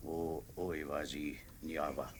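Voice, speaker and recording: A menacing warrior guard in a vintage radio drama. He speaks very low and slowly, in quiet drawn-out phrases. The old recording carries faint noise. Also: fairly even intonation. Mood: grim.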